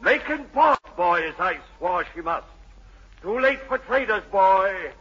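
A man's voice: high-pitched, lively intonation, measured pace, breathy edge, full character voice. An actor playing a mad, obsessed old sea captain and domineering father, in salty archaic seafarer's speech, in an old radio drama. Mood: fierce, insistent. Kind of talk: 1940s-50s radio drama acting. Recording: muffled old radio broadcast, faint noise.

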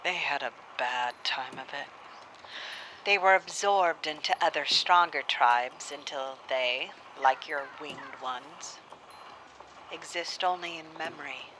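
A solemn woman storyteller speaks for a radio drama with proud, deliberate weight. Her voice is bright and firm, with a faint noise of an old cylinder recording. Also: sits low, full character voice, rough recording. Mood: grave.

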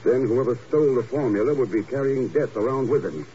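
An actor playing a cool, commanding secret agent in a 1950s radio drama, speaking in a clipped, deliberate way. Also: male voice, mid-range voice, dark tone, close to an everyday voice. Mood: grave. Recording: muffled, hissy old radio recording.

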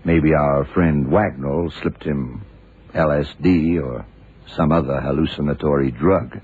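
An actor playing a blind detective in a 1970s radio drama, a gruff, commanding mentor who explains things deliberately with authority. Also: male voice, extremely low pitch, full character voice. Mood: explaining, deliberate. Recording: tinny, narrowband old radio recording.

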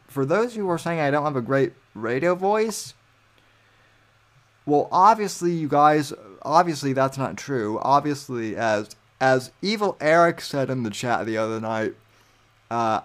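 Young male podcaster, unhurried delivery, mid-pitched, rambling and cocky. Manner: rambling, meandering, self-assured. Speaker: podcast host. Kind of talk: solo livestream podcast, reading chat aloud.

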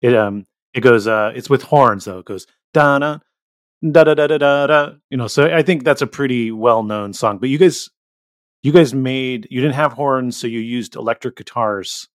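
A man's voice: medium pitch, normal speed, no echo, clean studio sound. A man co-hosting a podcast, chatting casually as he interviews guests. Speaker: podcast co-host.

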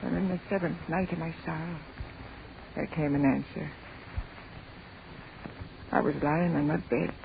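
A woman's voice: on the high side, expressive, normal speed, close to an everyday voice. A woman playing an anguished, devout old Irish widow in a radio drama, her voice tremulous and pleading. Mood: grieving, bitter. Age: older. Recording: tinny, noisy old radio recording.

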